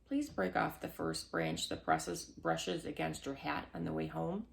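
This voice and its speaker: Woman voicing a gentle, pleading storybook young girl. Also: low-pitched, very animated, measured pace, creaky, full character voice. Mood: polite request.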